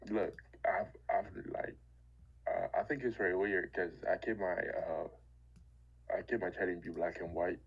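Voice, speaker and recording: Low gravelly man with a Dominican accent. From Dominican Republic. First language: Spanish. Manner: halting, restarts often. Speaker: caller. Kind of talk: casual chat on a voice call. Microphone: voice chat call. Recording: muffled voice call audio.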